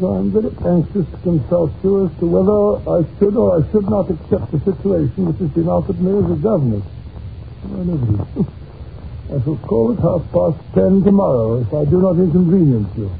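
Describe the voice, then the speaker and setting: An actor playing a genial, patient English gentleman narrator in an old-time radio drama, with a British accent and a fond, reminiscing manner, on a muffled recording. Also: high-pitched, expressive, moderate pace, creaky, a little hiss or hum. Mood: amused, curious.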